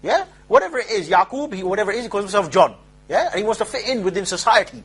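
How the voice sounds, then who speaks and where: Husky guy preaching real fast, high voice, jabby and mocking. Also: animated, a bit bright. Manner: rapid, emphatic, mocking asides. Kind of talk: informal street-style lecture.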